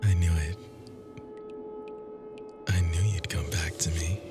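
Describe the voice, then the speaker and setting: A man voicing an ancient, possessive immortal lover in an ASMR roleplay, hushed, breathy and lingering. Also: deep voice, flat delivery, slow, loud music behind the voice, voice pushed down. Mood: tender obsession.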